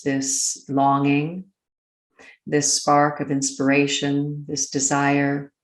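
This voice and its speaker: A woman with a very low voice and a marked creak leads a guided meditation. She speaks gently and deliberately, leaving long pauses as she goes. The recording has a clearly roomy, echoing sound. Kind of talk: slow, unscripted guided meditation.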